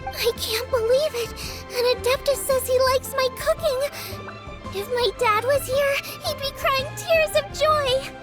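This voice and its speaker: A woman's voice: very high-pitched, unhurried. A woman voicing a cheerful, earnest young female chef, girlish and friendly, in a game cutscene. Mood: delighted. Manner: friendly, polite, enthusiastic. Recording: music behind the voice.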